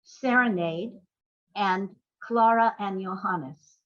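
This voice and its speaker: An older woman talking as an interview guest, telling stories conversationally in halting, reflective phrases. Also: mid-range voice, highs cut off. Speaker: playwright and screenwriter guest.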